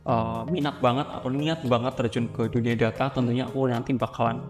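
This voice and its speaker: A man's voice: medium pitch, steady tone, fairly quick. A man, answering interview questions as a student guest, explaining things politely in semi-casual talk. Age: 20s. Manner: explanatory, polite, addresses listeners as friends. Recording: very reverberant room, clear music underneath. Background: music.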